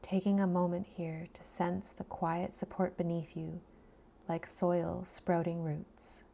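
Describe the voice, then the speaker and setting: A woman guiding a body scan meditation in a soft, soothing, breathy voice that often trails into a near-whisper, leaving long pauses between phrases. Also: fairly low pitch, flat delivery. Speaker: meditation guide.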